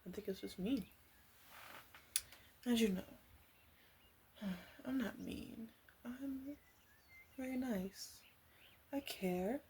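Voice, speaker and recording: A woman playing a caring, teasing witch in a soft ASMR roleplay voice that slips into whispers. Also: a little high, slight background noise, pitched above the performer's own voice. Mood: tender, consoling. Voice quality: soft, breathy, slipping into whisper. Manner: gentle, unhurried, playfully teasing. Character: female witch, flirty, caring, teasing potion seller.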